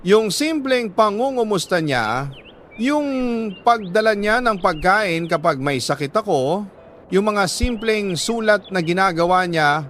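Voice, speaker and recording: A Filipino man with a somewhat high-pitched voice narrates a personal letter in a dramatic storytelling style. He phrases each thought deliberately and emotively, leaving pauses between phrases. Faint noise sits behind his voice. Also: a bit bright. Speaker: radio storyteller reading a listener's letter.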